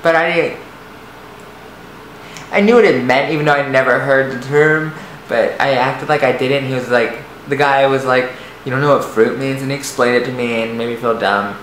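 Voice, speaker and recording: Young man, light soft voice, halting and rambling confessional delivery, recorded in a roomy space with faint background hiss. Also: medium pitch. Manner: hesitant, rambling, many restarts and fillers. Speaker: vlogger. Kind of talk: personal storytelling to camera. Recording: echoey room, faint noise.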